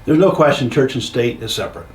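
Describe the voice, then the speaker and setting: An older man from Canada with a Canadian accent, giving plainspoken, blunt and somewhat halting answers as a local council candidate. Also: mid-range voice, very animated. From Norwich, Ontario, Canada. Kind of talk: informal interview answers. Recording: distant, echoey, noisy room sound.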